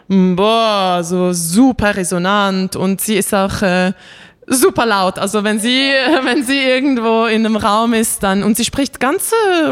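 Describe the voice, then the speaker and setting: A woman's voice: medium pitch, no echo. A young woman, a classical singer raised bilingual in Bosnian, chatting animatedly in an interview with many fillers and light laughs. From Switzerland. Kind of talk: casual interview chat.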